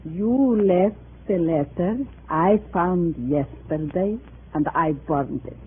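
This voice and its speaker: A woman's voice: fairly low pitch, slow pace, husky, full character voice. An actress playing a weary old immigrant mother in a 1950s radio drama. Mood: weary, reluctant. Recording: muffled, noisy old radio recording.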